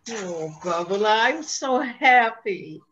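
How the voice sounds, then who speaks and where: Slow, doting old grandmother, played by a woman. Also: medium pitch, lively intonation, fairly bright tone, lo-fi sound. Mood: delighted. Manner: affectionate, coaxing, uses Yiddish pet names. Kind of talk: staged reading of a comic play.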